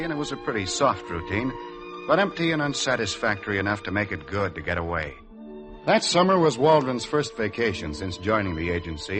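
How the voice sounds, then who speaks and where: A man's voice: lowish voice, low-quality recording. An actor playing a wistful young advertising man with a North American accent in a 1950s radio drama.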